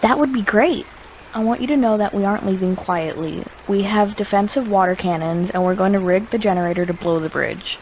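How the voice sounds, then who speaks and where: A resolute refugee in an audio drama , played by a woman. She sounds earnest and determined at a middle pitch, with faint water ambience around her. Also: fairly quick, darkish tone, slight background noise, close to an everyday voice, rough recording. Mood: determined, hopeful. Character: resolute female refugee camp survivor.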